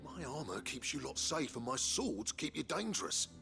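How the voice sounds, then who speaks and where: An actor playing a gruff, proud master blacksmith who grumbles and swears bluntly in a video game. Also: male voice, medium pitch, big pitch swings, moderate pace, over music. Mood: proud, defiant. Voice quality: gravelly. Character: gruff, proud, foul-mouthed village-born master blacksmith.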